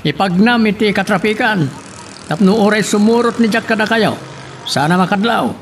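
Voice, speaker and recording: A man acts a secretive boss in a Filipino radio drama. His voice is high for a male, businesslike and commanding, with faint street noise behind. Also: moderate pace, natural voice. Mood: scheming, commanding.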